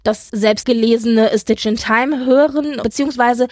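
Woman on a fan podcast, highish voice, chatty and keen, really dry sound. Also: normal speed, no echo. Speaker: podcast co-host. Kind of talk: casual fan podcast chat.

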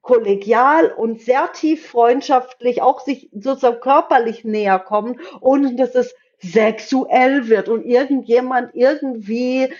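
A woman's voice: fairly high pitch, unhurried, few pauses, a little mellow. A woman co-hosting a podcast, casually discussing a series and repeating phrases for emphasis. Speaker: podcast co-host. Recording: slightly dull, lo-fi microphone sound.

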